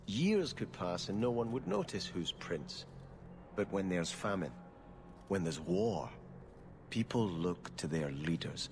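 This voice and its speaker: An actor playing an earnest, pious young prince in exile who speaks solemnly and formally in a video game. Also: male voice, low-pitched, big pitch swings, slow, creaky, background noise, heavily acted. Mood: earnest, reflective. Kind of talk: dramatic video game dialogue.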